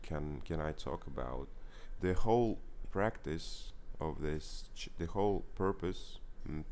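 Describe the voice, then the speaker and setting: A young man with a Russian accent speaking English as a second language in a candid vlog monologue. He is earnest and halting, searching for words and often correcting himself. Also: very deep voice, a little mellow, slight background noise. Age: young adult. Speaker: vlogger. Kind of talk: casual confessional monologue.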